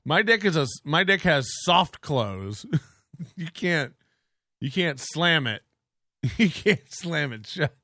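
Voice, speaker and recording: Man, podcast host, rambling crude chat, fairly high voice, bright tone. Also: big pitch swings, slow pace, no room sound, studio quality. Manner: crude, joking, meandering with frequent restarts.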